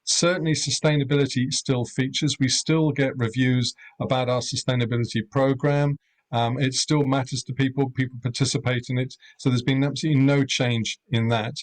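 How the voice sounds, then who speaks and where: A middle-aged man from Europe who runs a rural guesthouse, speaking on a panel in a measured, hesitant way with many ums. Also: mid-range voice, flat delivery, rough recording. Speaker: rural accommodation owner. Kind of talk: webinar panel talk.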